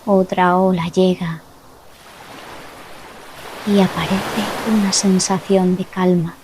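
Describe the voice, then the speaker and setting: A woman guides a relaxation meditation in a gentle, soothing voice, set a little low. She speaks very slowly in short phrases, leaving long pauses. Clearly audible sea waves wash behind her throughout. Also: flat delivery, noisy background. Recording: loud ocean waves behind the voice. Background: sound of sea waves.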